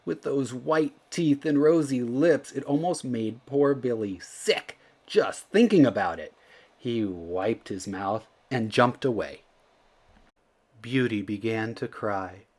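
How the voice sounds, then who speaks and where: A man narrating a children's storybook aloud in an expressive, theatrical way, leaning into the emphatic words. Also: mid-range voice, slow pace. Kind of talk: animated read-aloud narration.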